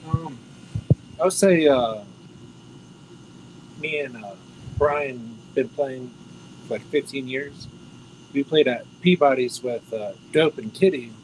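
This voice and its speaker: An American man in a band telling a story in a casual, animated way. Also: medium pitch, slow, long pauses, slight background noise, rough recording. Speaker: band member.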